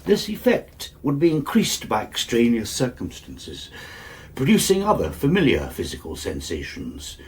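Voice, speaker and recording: A man playing an eminent nerve specialist in a British radio drama, with an English accent, a husky voice and a measured, formal, clinical manner. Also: mid-range voice, wildly swooping pitch, darkish tone, a little hiss or hum. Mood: authoritative, explanatory. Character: eminent, authoritative male nerve specialist, coolly controlled.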